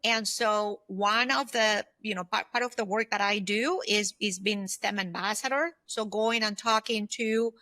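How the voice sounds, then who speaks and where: Female, 50s, interview guest. Bright, forward voice, slightly high, dry close sound, earnest explainer. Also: unhurried, few pauses, no echo. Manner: earnest, explanatory, often checks in with "right?". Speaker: podcast guest, consultant and former aerospace executive. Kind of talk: conversational interview answers.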